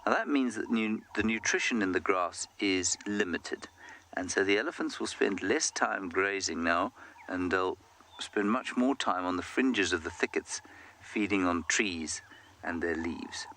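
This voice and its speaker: A man guiding a live game drive, calmly explaining the animals to viewers from outdoors in the bush. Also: deep voice, unhurried, slight background noise. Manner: calm, explanatory, speaks directly to viewers. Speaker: safari guide.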